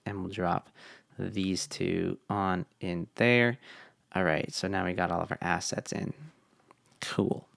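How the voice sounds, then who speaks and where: A young man talking through a casual coding tutorial, relaxed and thinking aloud with fillers, his voice tired from long meetings. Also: sits low, creaky, smooth, dry recording, clean studio sound. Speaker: web developer.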